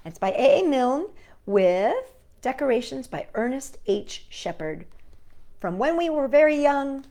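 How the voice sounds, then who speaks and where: A woman's voice: high-pitched, big pitch swings, unhurried, warm tone. A woman hosting a storytime, reading children's stories and poems expressively and speaking directly to young listeners. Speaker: storytime host and reader. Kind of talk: children's story read-aloud.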